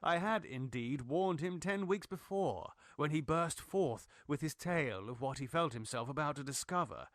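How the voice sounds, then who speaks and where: A man's voice: on the high side, expressive, moderate pace, hardly any pauses, no room sound. Grave male horror narrator, close and dry. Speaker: narrator, audiobook reader. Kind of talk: dramatic scripted gothic horror narration.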